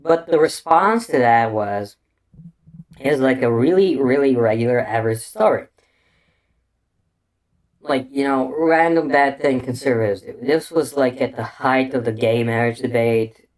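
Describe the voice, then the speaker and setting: A man with an unusual, hard-to-place accent delivers a meandering solo commentary. He talks slowly, swears freely and keeps restarting his sentences. His pitch sits in an ordinary middle range. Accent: unusual non-native English accent. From Bulgaria. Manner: meandering, crude, full of restarts and fillers. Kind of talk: casual rambling commentary monologue.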